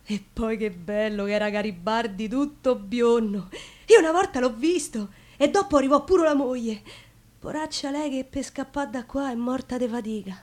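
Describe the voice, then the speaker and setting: High-voiced, outspoken Roman woman played by an actress. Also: moderate pace, darkish tone, close to an everyday voice. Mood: wistful. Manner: plain-spoken, colloquial, confiding. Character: outspoken, devout, working-class Roman woman of the nineteenth century. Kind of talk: theatrical dialect monologue.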